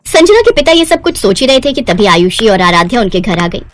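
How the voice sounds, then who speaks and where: A woman's voice: on the high side, very animated, brisk, no room sound, soft background music. A woman storyteller narrating a scripted moral story.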